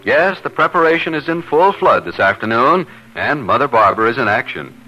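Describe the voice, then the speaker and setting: A man's voice: mid-range voice, lively intonation, a little fast, bright, forceful tone, highs cut off. A man announcing in a polished, declamatory 1950s radio style, reading scripted narration and sponsor messages.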